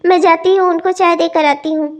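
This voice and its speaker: A woman's voice: extremely high pitch, steady tone, brisk. A woman playing a meek, dutiful young bride, earnest and plaintive, in a melodramatic family story.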